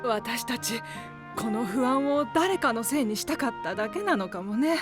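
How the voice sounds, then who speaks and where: Anime townswoman, voiced by an actress: high, frank, cautious but kind, faint music behind. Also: lively intonation, normal speed, natural voice. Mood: apologetic. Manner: plain, frank. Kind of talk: anime audio drama acting.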